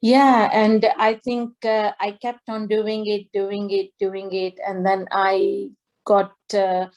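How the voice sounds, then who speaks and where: A middle-aged woman from Tanzania, a singer on a video call, talking slowly with many fillers and restarts. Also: medium pitch, little pitch movement, darkish tone, lo-fi sound. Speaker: guest singer. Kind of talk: interview over video call. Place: indoor home room.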